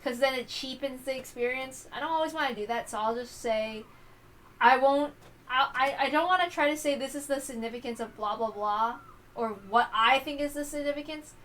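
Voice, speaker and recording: A woman hosting a read-along, giving casual commentary in a rambling, halting way that often interrupts itself. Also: high-pitched, a bit bright. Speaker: host reading a visual novel aloud.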